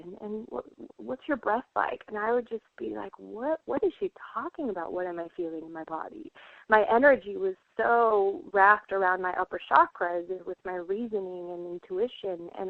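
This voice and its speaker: An earnest woman from Puerto Rico speaks as a radio guest, reflective and full of fillers. Her voice comes through a thin, phone-like line. There is no room echo. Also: mid-range voice. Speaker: guest healer. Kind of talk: radio interview.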